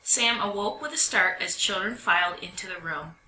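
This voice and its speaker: Female storybook reader, very bright and lively, roomy distant recording. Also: mid-range voice, moderate pace. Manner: expressive, animated storytelling delivery. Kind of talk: children's picture book read-aloud. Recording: roomy echo, distant mic, faint noise.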